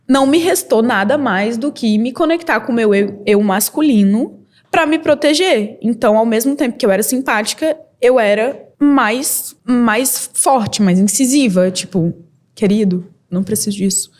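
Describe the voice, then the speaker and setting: A young woman from Brazil, a model and entrepreneur, telling her story as a podcast guest in a candid, lively way with quick bursts and laughs. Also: on the high side. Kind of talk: casual interview storytelling.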